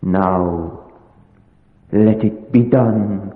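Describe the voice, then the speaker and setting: An actor playing a grave, learned older vampire hunter, speaking in solemn, measured foreign phrasing in 1940s radio horror style. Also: male voice, lowish voice. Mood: solemn, consoling. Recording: muffled echoey 1940s radio recording, music.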